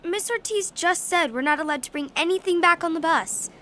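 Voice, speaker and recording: A woman voicing a curious, excitable young schoolgirl, eager to discover things, in a children's audio drama. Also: extremely high pitch, a little fast. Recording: noisy outdoor ambience behind.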